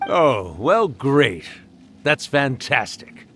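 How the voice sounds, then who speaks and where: A man's voice: medium pitch, very animated, extremely slow, a bit bright, a little hiss or hum, heavily acted. An old yokai storyteller from a game, theatrical and wistful with a teasing good humor. His mid-range voice swings freely up and down, with faint ambience behind it. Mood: warm. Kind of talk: video game voice acting.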